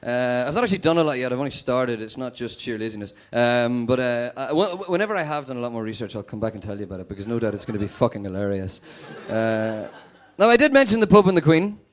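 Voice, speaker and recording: A young man from Northern Ireland with a Northern Irish accent, performing a stand-up set with a sweary, deadpan manner. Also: medium pitch, quick pace, hardly any pauses. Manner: sweary, animated, deadpan asides. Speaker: stand-up comedian.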